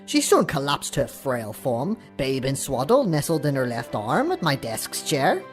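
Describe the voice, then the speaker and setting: A woman's voice: very deep voice, very animated, a little slow, faint music behind, exaggerated voice. A woman playing a solemn, self-important ancient scribe, telling a chronicle in archaic, theatrical language for an audio drama. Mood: solemn, grand.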